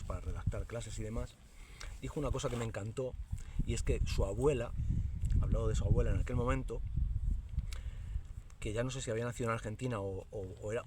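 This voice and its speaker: A low-voiced man in his fifties with a Madrid accent talks slowly and hesitantly, often pausing on fillers and restarting his sentences. He sits a little back from the mic, and loud noise runs under his voice. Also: recorded from a distance. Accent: Madrid Spanish. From Spain. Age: 50s. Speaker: spiritual teacher and video host. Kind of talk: casual reflective monologue.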